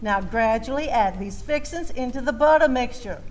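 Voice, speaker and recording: A woman's voice: fairly high pitch, lively intonation, moderate pace, faint music behind. A woman with a Southern US accent telling a comic story as a baking show host, in a folksy, theatrical, flustered manner.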